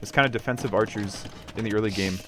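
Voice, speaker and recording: A man's voice: fairly low pitch, fast. A man giving casual live commentary on a video game match, analytical and tentative as he thinks aloud.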